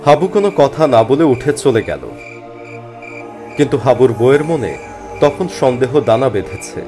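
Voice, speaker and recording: A man narrating a scripted animated horror story in a dramatic storytelling style. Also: medium pitch, normal speed, music in the background.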